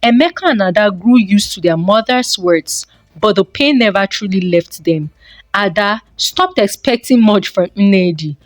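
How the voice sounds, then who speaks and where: A woman's voice: mid-range voice, dry recording. A woman reading a scripted folktale as a storyteller.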